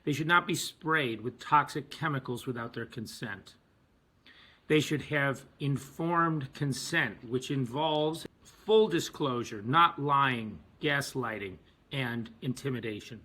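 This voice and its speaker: Forceful, slightly husky man giving a speech. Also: mid-range voice, slow pace. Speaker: physician and activist. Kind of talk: prepared advocacy speech.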